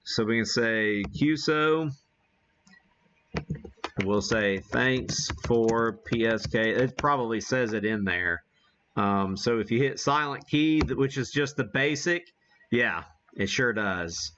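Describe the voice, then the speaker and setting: A man's voice: mid-range voice, slow, fairly bright tone, muffled recording, a little hiss or hum. An American man hosting a casual live radio demonstration, thinking aloud in a folksy way. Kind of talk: casual live-stream chat while operating.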